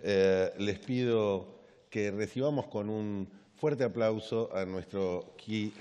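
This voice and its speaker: A middle-aged man seated on a conference stage reads a formal introduction of the keynote speaker from his notes. His voice is low and mellow, and his measured delivery has a few small restarts. The big hall's long reverb hangs after his words. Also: fairly even intonation, highs cut off, slight background noise. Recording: long reverb of a large hall.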